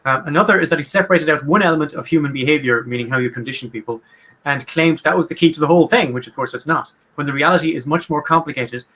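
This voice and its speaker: Fast-talking Irish man, bright tone. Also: a little high. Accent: Irish, Munster. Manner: quick, chatty, wry. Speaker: podcast host. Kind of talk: casual explanatory chat.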